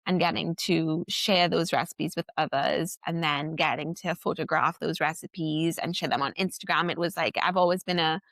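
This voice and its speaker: A woman's voice: sits low, steady tone, moderate pace, hardly any pauses, fairly bright tone, no room sound. A woman from Trinidad and Tobago, a podcast guest, talking casually and reflectively, with lots of filler words and restarts. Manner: chatty, many "like" fillers and self-restarts. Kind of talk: casual reflective interview talk.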